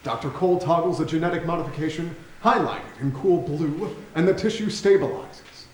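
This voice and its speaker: Male narrator for a stage reading: lightly high voice, scripted delivery, echoey room. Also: normal speed. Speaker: stage directions reader. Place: theatre stage. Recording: roomy theatre recording with faint noise.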